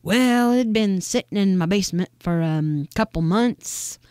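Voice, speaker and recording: A woman's voice: mid-range voice, measured pace, a little mellow, smooth, dry recording, close to an everyday voice. An eccentric old neighbor woman from a comic tale, acted out by a woman storyteller. She rambles along in a folksy, matter-of-fact way, quite unbothered, with a dry, close sound. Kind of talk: acted quote in a humorous story.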